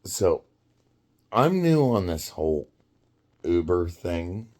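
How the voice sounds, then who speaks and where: A man in his fifties tells a story in an unhurried, very deep voice. He rambles and stops to restart sentences, and laughter keeps slipping into his talk. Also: very animated, darkish tone. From United States. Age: 50s. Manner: rambling, halting, quick to laugh. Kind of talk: casual storytelling.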